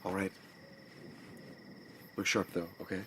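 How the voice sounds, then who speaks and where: A man's voice: sits low, flat delivery, a little slow, fairly bright tone, slight background noise. An actor playing a loyal, worried male junior partner, casual and concerned, in naturalistic audio drama.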